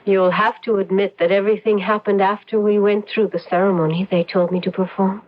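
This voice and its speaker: A woman's voice: medium pitch, flat delivery, brisk, natural voice. Actress playing a seductive scheming witch, lo-fi. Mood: teasing, evasive. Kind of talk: old-time radio drama acting. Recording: dull lo-fi radio drama recording.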